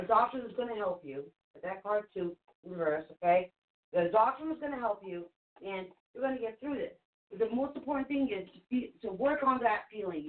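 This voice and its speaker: A woman whose first language is Portuguese, hosting a live call-in psychic reading show in a reassuring, chatty way that repeats things for emphasis. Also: fairly high pitch. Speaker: spirit reader and show host. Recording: phone-like narrow sound.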